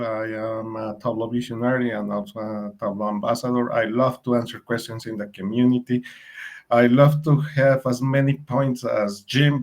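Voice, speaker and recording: A Colombian man, a native Spanish speaker, presenting over a video call in English, friendly and halting, with repeated words. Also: medium pitch. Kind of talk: webinar presentation.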